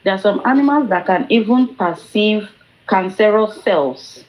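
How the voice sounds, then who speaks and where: A woman's voice: medium pitch, animated, measured pace, a little mellow, low-quality recording. A woman who makes online videos, chatting and explaining things in a casual way. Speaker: YouTube creator.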